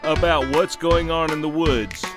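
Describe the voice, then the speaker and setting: A man's voice: a little high, a little slow, over music. A man reading a tongue-in-cheek magazine advertisement as announcer, in a folksy, mock-serious manner.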